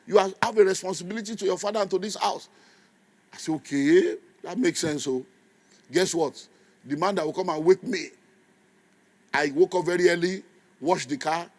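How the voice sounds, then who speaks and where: A Nigerian man in his fifties preaching with animated energy. His voice sits a little high for a man, and he slips into Pidgin asides and laughs easily between his points. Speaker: pastor. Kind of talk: sermon.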